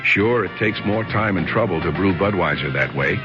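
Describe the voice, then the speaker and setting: Male ad announcer, lively persuasive read, lo-fi vintage sound. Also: low voice, moderate pace. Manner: smooth, persuasive. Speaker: commercial announcer. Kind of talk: scripted ad read. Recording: dull old radio broadcast with music.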